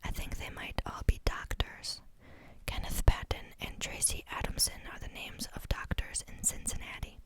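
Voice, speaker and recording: A woman reading a book aloud in a soft, unvoiced whisper, steady but sometimes stumbling on a word and starting it again. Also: moderate pace. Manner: reads steadily, stumbles and restarts on words. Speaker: reader.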